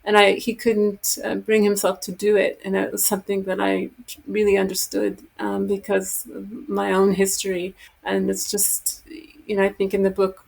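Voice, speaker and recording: A woman, co-author of a book, giving a measured, reflective answer in an interview. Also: mid-range voice, vocal fry, a little mellow, low-quality recording. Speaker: guest, co-author.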